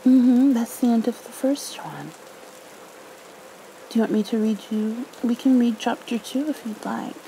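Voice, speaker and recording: A woman softly reading a storybook and talking tenderly in a soothing, motherly ASMR roleplay. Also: fairly high pitch, a little slow, creaky, poor audio. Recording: faint background noise, rain ambience.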